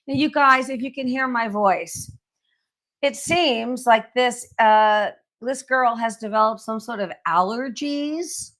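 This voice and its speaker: A woman's voice: a little high, takes it slowly, a bit bright. A middle-aged woman co-hosting a live shopping stream in casual chat, her voice froggy and cracking from allergies. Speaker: co-host of live shopping show.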